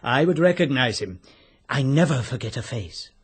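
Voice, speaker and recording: An actor playing a chatty, self-important older chemist with an English accent and a fussy, precise manner in a radio drama. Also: male voice, medium pitch, lively intonation, moderate pace, a little hiss or hum, lightly acted. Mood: eager, confiding. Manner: fussy, precise, hesitant openings.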